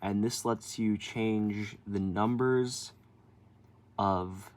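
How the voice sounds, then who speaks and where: Slow-paced, low-voiced man giving a tutorial. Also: steady tone, a little mellow. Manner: informal, colloquial, explains hands-on while demonstrating. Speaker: tutorial presenter. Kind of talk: casual step-by-step how-to tutorial.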